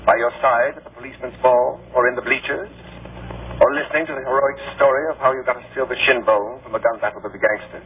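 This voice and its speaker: An actor playing an acid, haughty, mocking gentleman in an old film. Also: medium pitch, steady tone, quick pace, far from the mic, close to an everyday voice. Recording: noisy, narrow-band old film clip on radio.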